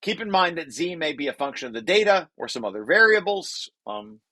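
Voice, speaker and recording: A man presenting a technical paper, reading passages aloud and breaking off into informal, halting explanations with repeated restarts. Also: on the high side, big pitch swings, sharp tone, no room sound.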